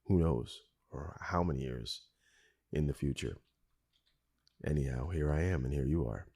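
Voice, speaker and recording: Raspy deep-voiced man hosting a podcast. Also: normal speed, warm tone. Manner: rambling, chuckles often, self-correcting asides. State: hoarse from a cold. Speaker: podcast host. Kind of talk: casual solo podcast monologue.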